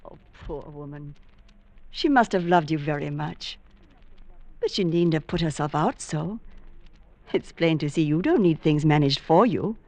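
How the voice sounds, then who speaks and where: An actress playing a lonely, coy bookshop spinster in an old-time radio drama, gushing politely. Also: female voice, deep voice, unhurried, pauses often, darkish tone, no room sound. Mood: sympathetic, coaxing.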